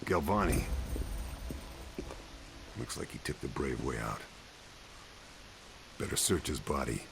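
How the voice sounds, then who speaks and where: A man voicing a grim lone wartime agent in a video game, terse and brooding, with a gravelly voice and music underneath. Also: very deep voice, big pitch swings, takes it slowly, noisy background, heavily acted. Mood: muttering, focused. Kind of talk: scripted game narration.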